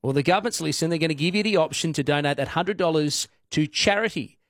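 A breezy man hosts drive-time talk radio from a studio mic. His voice is a little high for a man and sounds close and dry. He talks in a chatty, easygoing way and jokes at his own expense. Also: quick pace, no room sound. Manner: breezy, chatty, self-deprecating. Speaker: radio announcer. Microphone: studio microphone.

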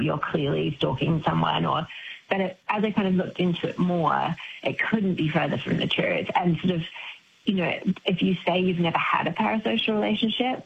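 A woman from New Zealand, an author speaking as an interview guest, talking in a warm, enthusiastic, thoughtful way. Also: low-pitched, vocal fry, lo-fi sound, a little hiss or hum. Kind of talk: interview on a remote line.

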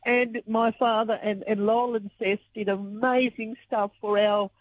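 A woman from Australia, croaky-voiced and earnest, talking emotionally in a radio interview. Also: on the high side, fairly even intonation, a little slow. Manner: earnest, emotional when recalling the past. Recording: tinny phone line.

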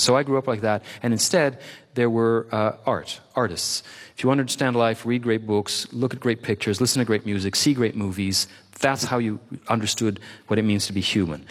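A man's voice: medium pitch, long reverb tail. A middle-aged man from Quebec, whose first language is French, speaking as an author guest in an interview. He talks expansively, piling up examples and repeating words for emphasis. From Quebec, Canada. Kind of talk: reflective interview talk.

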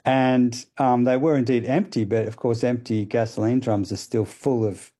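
A man explaining ideas as a radio guest, thoughtful and hesitant, with many pauses and fillers. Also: mid-range voice, fairly even intonation, mellow. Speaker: guest expert.

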